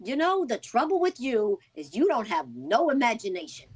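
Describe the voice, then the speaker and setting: A woman's voice: fairly high pitch, sing-song, normal speed, breathy edge. A woman playing a stubborn, worried working-class wife in a vintage-style radio drama, speaking in an insistent, colloquial way.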